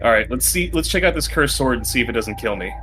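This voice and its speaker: Guy playing a tabletop rpg, casual table talk, average pitch, music clearly playing behind. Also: expressive, fast talker, fairly bright tone. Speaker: player in a tabletop roleplaying game. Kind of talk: casual chat at the game table. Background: background music.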